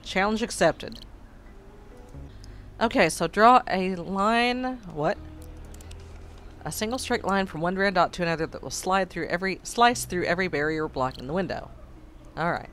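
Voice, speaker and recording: A man hosting a let's play, hoarse and froggy after being sick, making wry, sarcastic asides over the game. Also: high voice, moderate pace, long pauses, fairly bright tone. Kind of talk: casual game commentary. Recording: faint game music behind.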